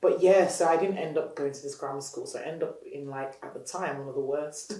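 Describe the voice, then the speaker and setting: Animated Nigerian woman, roomy and distant. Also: sits low, a little fast. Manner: animated, laughs often, restarts sentences. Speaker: business founder, guest. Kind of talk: candid storytelling interview.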